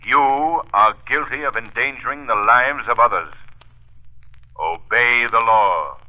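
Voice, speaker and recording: Actor as slow, ominous shadowy avenger. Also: male voice, medium pitch, lightly acted. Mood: stern, ominous. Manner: stern, portentous, measured. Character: ominous, shadowy male avenger warning wrongdoers. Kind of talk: 1930s radio drama acting. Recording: dull vintage radio recording.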